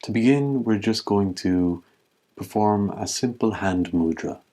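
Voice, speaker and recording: Deep, mellow man guiding meditation. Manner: calm, measured, with long pauses. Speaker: meditation teacher. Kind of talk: guided meditation instruction.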